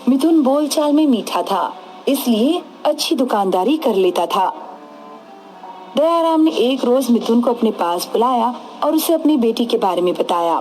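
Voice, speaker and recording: A woman's voice: on the high side, animated, normal speed, darkish tone, a little hiss or hum, low-quality recording. A woman storyteller reading scripted horror-story narration. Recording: music behind the voice.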